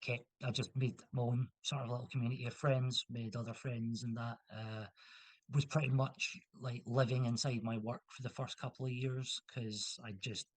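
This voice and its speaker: A young man from Scotland chats in a loose, rambling way, often restarting his sentences and leaning on fillers. His voice sits in a middle pitch and sounds dry and close, with no room around it. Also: flat delivery, moderate pace, no echo, low-quality recording. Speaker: interview guest. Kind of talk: casual conversational interview.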